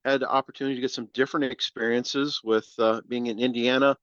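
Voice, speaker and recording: This is a man in his fifties from Ohio, talking as a guest in a relaxed, plain-spoken way. His voice comes through a dull, lo-fi recording with a very dry sound. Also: mid-range voice, fast, smooth, no room sound. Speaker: guest, nutritionist. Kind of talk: interview answers.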